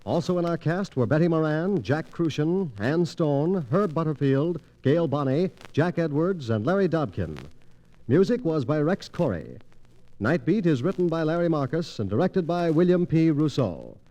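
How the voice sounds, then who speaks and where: Mellow, high-pitched American male radio announcer. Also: expressive, normal speed, poor audio. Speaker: network announcer. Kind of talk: 1940s radio announcing, scripted credits. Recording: 1940s radio transcription disc.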